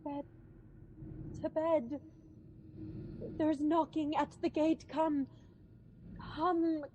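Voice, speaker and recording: A woman's voice: sits high, little pitch movement, drawn-out words, warm tone, muffled recording, slight background noise. A woman playing a guilt-racked, imperious sleepwalking noblewoman in a Shakespearean radio drama, muttering in fragments with sudden outbursts. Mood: haunted, urgent.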